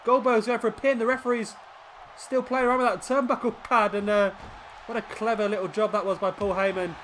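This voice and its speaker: A man, sniffly with a cold, commentating a wrestling video game play by play and getting excited at big moves. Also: high voice, brisk, slight background noise.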